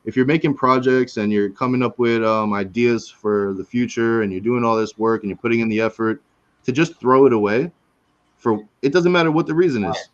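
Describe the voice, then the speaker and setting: Man in his forties, casual host, rambling and laid-back, dull lo-fi sound, mid pitch. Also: fairly quick. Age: 40s. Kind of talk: casual chat.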